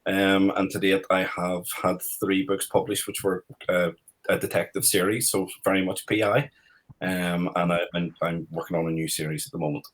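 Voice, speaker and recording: A man's voice: low voice, little pitch movement, normal speed. A man with a Northern Irish accent, speaking as a crime writer on a panel, thoughtful and hesitant with frequent restarts. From Northern Ireland. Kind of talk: panel discussion.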